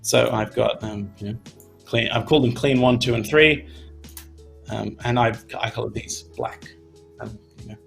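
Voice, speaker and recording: A young man from Australia talks through an animation lesson in a loose, chatty way, full of small fillers. His voice is gravelly, with soft music playing faintly underneath. He is at a desk in his home studio. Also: medium pitch, normal speed. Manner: relaxed, chatty, many fillers like "you know". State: voice tiring. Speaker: animation instructor. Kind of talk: casual live-stream tutorial.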